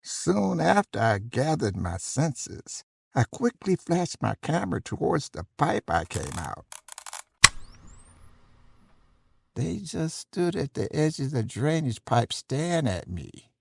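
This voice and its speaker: A man playing a haunted survivor, giving a measured, grave first-person horror narration in a gravelly, husky voice. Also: a little high, big pitch swings, noisy background, exaggerated voice. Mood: haunted, uneasy. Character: haunted male survivor recounting escape in analog horror.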